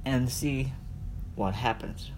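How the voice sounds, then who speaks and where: A man hosting a casual solo podcast, speaking conversationally and addressing his listeners directly. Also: slow. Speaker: podcast host. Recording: noisy with low background rumble.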